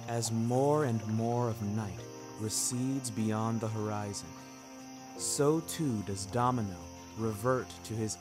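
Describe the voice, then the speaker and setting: A man narrating a scripted first-person fiction podcast in a measured, literary, deliberate way. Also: mid-range voice.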